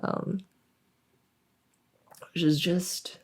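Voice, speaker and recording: A woman playing a friendly, silly monster under the bed in an ASMR roleplay, rambling playfully and softly. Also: fairly low pitch, fairly even intonation, drawn-out words, slightly rough, heavily acted, low-quality recording. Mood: musing, playful. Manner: rambling, playful, soft-spoken.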